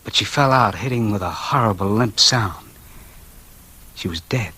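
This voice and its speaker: An actor playing a bitter, numb man in a doomed crime story, in halting, brooding 1940s radio noir style. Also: fairly low pitch, sharp tone, breathy edge. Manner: halting, brooding first-person delivery. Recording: vintage radio drama, music underneath.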